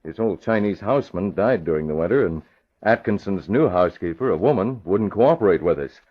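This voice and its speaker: An actor playing a young newspaper publisher in an old radio drama, a crisp, matter-of-fact boss giving directions. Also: male voice, deep voice, expressive, fairly quick. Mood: concerned, decisive. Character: young, businesslike male newspaper publisher, brisk and calm boss. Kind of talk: 1940s-50s radio acting. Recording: dull vintage radio recording.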